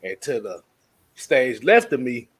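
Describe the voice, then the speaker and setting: A man's voice: mid-range voice, very animated, a little slow, husky, no echo. A man co-hosting a casual live sports talk stream, joking and reading out viewer names in a loose way. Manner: loose, joking, laughs a lot. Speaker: co-host of a sports talk show.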